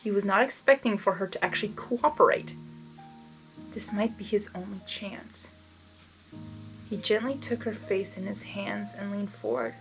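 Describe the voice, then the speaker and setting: A woman's voice: medium pitch, lively intonation, moderate pace, rough recording. A woman reading fan fiction aloud and breaking off to give her own reactions, often stumbling over words and interrupting herself. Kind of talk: blind reading with casual commentary. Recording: background music under the voice.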